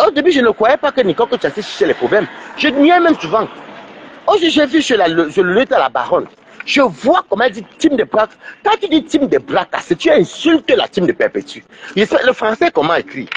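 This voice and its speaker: A man's voice: sits high, dull audio. A man with a cold, rambling and scolding on a livestream, with many stumbling restarts. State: stuffy with a cold.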